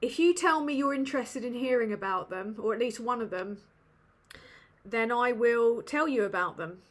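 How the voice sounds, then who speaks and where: A woman's voice: fairly high pitch, normal speed. A woman with a British English accent hosting a casual livestream Q&A from a dim room at home, rambling and sweary with lots of ums. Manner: rambling and sweary, with many fillers. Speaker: livestream host.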